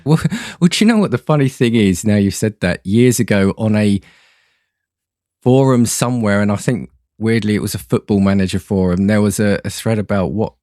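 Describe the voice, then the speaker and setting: A man's voice: on the low side, normal speed, a little mellow, no room sound, clean studio sound. A man chatting casually as a podcast guest, hesitant and rambling, often repeating words as he goes. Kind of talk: casual chat about films and games.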